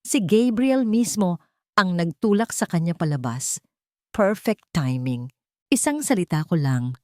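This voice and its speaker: A woman with a Filipino accent voicing a scheming, vengeful female head nurse in an audio story, hushed and menacing. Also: on the low side, very animated, measured pace, no echo, clean studio sound. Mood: sinister, gloating.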